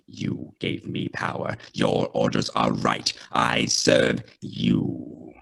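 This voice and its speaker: Menacing alien machine servant, radio play. Extremely slow, croaking monotone. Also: male voice, a bass voice, exaggerated voice. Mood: cold obedient. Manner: halting, toneless, deliberate. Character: cold, obedient Dalek, a menacing alien machine. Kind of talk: scripted radio play reading.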